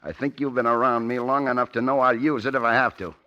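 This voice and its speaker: A man's voice: mid-range voice, fairly even intonation, fast, close to an everyday voice. An actor playing a ruthless, cocky gangster with a tough-guy manner in a 1940s radio drama. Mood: threatening. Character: ruthless, cocky gangster with a gun, boastful man. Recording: muffled old radio recording.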